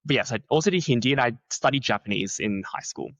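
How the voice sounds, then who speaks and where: A man's voice: fast, dry recording, dull audio. Chatty young man, dry close mic. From Australia. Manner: chatty, many "like" fillers, lively swings in pitch. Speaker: researcher, podcast guest. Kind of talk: casual conversational interview.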